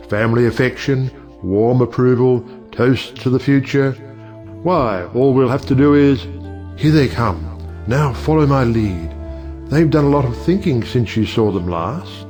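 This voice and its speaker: Smooth, persuasive older judge played by a man. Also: medium pitch, a little mellow, close to an everyday voice. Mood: brisk, scheming. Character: genial, scheming older judge uncle smoothing over family quarrels. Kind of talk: audio play acting.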